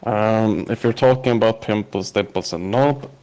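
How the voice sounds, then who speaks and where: A man in his thirties from Sweden with a Swedish accent, speaking English as a second language while down with a cold, answering interview questions in a halting way with many filler pauses. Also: lowish voice, a little mellow, clear, resonant tone. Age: 30s. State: has a cold. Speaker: podcast guest. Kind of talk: casual interview chat.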